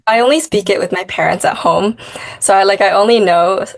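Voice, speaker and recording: A woman's voice: mid-range voice, a little fast. A young woman math tutor answering interview questions in a casual, chatty way, sprinkling in lots of "like" and "um". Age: young adult. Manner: chatty, many "like" fillers.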